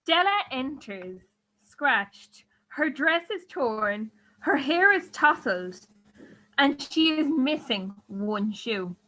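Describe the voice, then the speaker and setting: A woman's voice: high voice, lively intonation, slow, bright, forceful tone, heavily acted, poor audio. A woman reading scripted narration and stage directions for an amateur radio drama. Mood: neutral.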